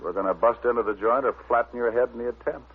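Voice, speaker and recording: A man's voice: mid-range voice, fast talker, lightly acted. A man playing a sardonic, wisecracking private detective in old-time radio style, with dry, glib delivery. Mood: wry, bossy. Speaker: lead actor and narrator. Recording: tinny, noisy old radio broadcast.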